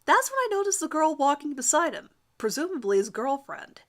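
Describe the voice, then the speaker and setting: A woman doing an amateur dramatic reading of a first-person story in an expressive, theatrical manner. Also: sits high, brisk. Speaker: amateur reader of her own fan fiction.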